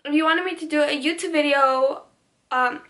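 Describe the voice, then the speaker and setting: A woman's voice: high voice, normal speed, fairly bright tone. A teenage girl whose first language was Spanish, chatting casually through a Q&A on her vlog, with lots of "like" and restarted sentences. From United States. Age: teens. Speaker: beauty vlogger.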